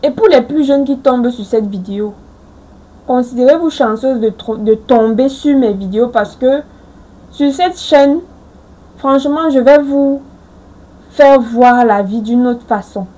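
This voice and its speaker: A woman's voice: fairly high pitch, measured pace, warm tone, poor audio. A woman in her forties giving earnest, reassuring life advice straight to her viewers. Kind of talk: motivational talk to camera.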